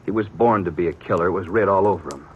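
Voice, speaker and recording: An actor playing a stern young rancher in a radio western, talking in blunt, emphatic frontier phrases. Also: male voice, low voice, fast talker, natural voice. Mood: grim, intense. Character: stern, hearty young rancher, protective brother, fixed in opinions. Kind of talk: 1950s radio western acting. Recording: dull, noisy vintage radio recording.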